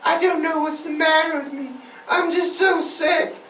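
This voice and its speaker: Weepy male murderer confessing on a call, acted by a male podcaster, whiny, very high, distant roomy recording. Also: fairly even intonation, moderate pace, pushed and bright. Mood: tearful, self-pitying. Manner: tearful, self-pitying, mocking exaggeration. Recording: roomy, distant mic with faint noise.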